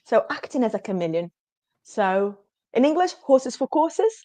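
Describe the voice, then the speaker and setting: A woman's voice: a little high, sing-song, moderate pace, mellow, no echo. A woman whose first language is Portuguese, answering interview questions in an earnest, emphatic way over a video call. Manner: earnest, emphatic, often says absolutely. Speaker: guest.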